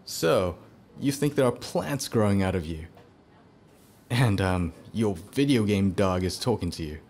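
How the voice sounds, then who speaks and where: Deep-voiced, dismissive doctor. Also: male voice, expressive, unhurried, a little mellow. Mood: bemused, dismissive. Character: dismissive, bemused male doctor. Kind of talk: motion comic voice acting.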